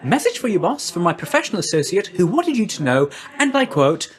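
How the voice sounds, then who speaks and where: A man's voice: high voice, sing-song, brisk. A man voicing a breezy, chummy young messenger god in a video game, with a slangy, glib, friendly manner. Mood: breezy, businesslike.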